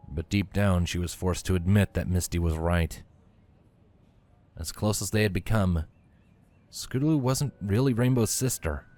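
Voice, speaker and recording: A man with a fairly low voice narrates a piece of fan fiction. He reads from a script as a storyteller. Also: darkish tone.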